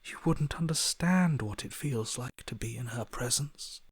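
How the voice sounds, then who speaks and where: Broken inner voice, servile, defeated, reverent, hushed and breathy, pleading, video game drama, dry sound. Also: male voice, mid-range voice, measured pace, dry recording. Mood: anguished devotion.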